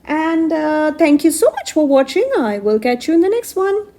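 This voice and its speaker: A woman crafting YouTuber, hoarse from a cold, narrating a journaling tutorial slowly with many fillers and restarts. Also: high voice, big pitch swings, mellow.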